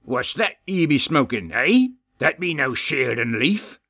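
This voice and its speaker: A man voicing a gruff, hearty male dwarf king in an audiobook, speaking in a folksy dwarvish dialect, jovial and bossy. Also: medium pitch, very animated, a little slow, no echo, highs cut off, pitched above the performer's own voice, heavily acted. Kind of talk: audiobook character voice.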